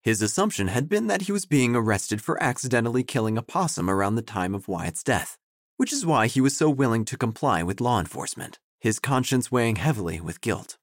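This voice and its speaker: An actor playing an earnest, wordy obituary writer who narrates an audio drama in a deliberate, deadpan way. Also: male voice, medium pitch, no echo, studio quality. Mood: deadpan, florid. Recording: faint music behind.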